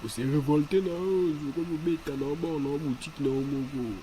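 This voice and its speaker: A man's voice: on the high side, steady tone, normal speed, warm tone, a little hiss or hum, close to an everyday voice, poor audio. A man with a Congolese accent playing a chatty, streetwise cartoon character. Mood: insistent. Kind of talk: animated cartoon dialogue in Lingala and French.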